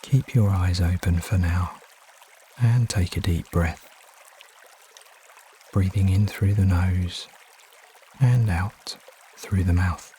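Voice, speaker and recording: A man narrates a guided meditation in a low-pitched voice. He gives soothing instructions without hurry, leaving frequent pauses between phrases. A faint wash of trickling stream water runs behind him. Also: a little hiss or hum. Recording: steady water ambience behind voice. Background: trickling stream water sounds.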